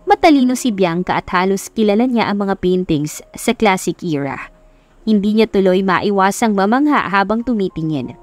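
A woman's voice: medium pitch, animated, normal speed, darkish tone, dry recording. A woman reading a scripted love story as an audiobook narrator. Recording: music under the voice.

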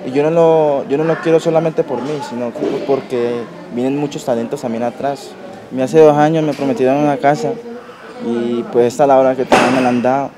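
A man's voice: mid-range voice, steady tone, a little fast, noisy background. A nineteen-year-old man with a Colombian accent from Valle del Cauca, speaking plainly and informally as an athlete in a documentary interview. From Yumbo, Valle del Cauca, Colombia. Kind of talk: documentary interview, personal account. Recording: music under the voice. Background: music.

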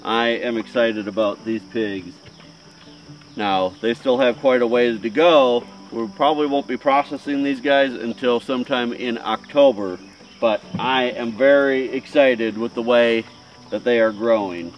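A man's voice: medium pitch, steady tone, measured pace, dull audio. A man in his forties hosting a homestead vlog, speaking casually to camera outdoors on a farm.

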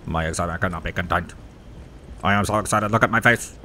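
A man's voice: low voice, fast, pushed and bright, a little hiss or hum. A man doing casual let's play commentary as host, wry and jokey, repeating phrases for effect.

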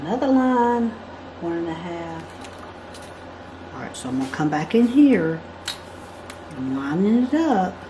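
A woman's voice: lowish voice, big pitch swings, unhurried, pauses often, dark tone, a little hiss or hum. A woman teaching a pattern-drawing tutorial, chatting through each step as she works at a table. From United States. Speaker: craft instructor. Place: indoors at a work table.

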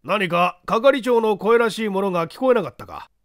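A man's voice: sits high, expressive, moderate pace, a bit bright, no room sound, studio quality, pitched above the performer's own voice. A man voicing a stern examining judge who questions a witness bluntly in a mystery story. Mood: stern, probing. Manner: blunt, commanding, probing.

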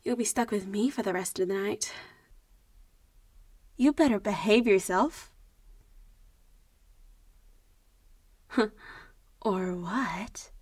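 A woman playing a teasing, haughty yet protective young vampire schoolgirl in a roleplay audio drama, speaking with deliberate phrasing and sighs. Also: mid-range voice, a little mellow, breathy edge, no echo. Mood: playfully menacing.

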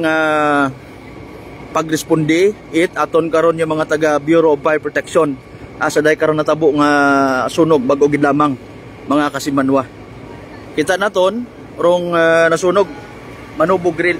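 A man with a Filipino accent gives live, improvised news commentary as a field reporter, speaking haltingly and directly to viewers on a phone. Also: on the high side, fairly even intonation. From Philippines. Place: outdoors on a road at a fire scene. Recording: noisy outdoor roadside with vehicle noise.

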